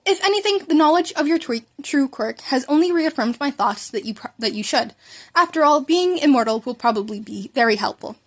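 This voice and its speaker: A woman's voice: sits high, animated, brisk. A woman voicing an earnest adult male hero mentor, formal and hesitant, in a story reading.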